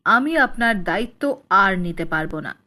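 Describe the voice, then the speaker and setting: A woman's voice: medium pitch, lively intonation, normal speed, pushed and bright, voice pushed down. A woman voicing a callous, henpecked grown son in a children's cartoon, speaking bluntly and insistently. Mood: cold, insistent.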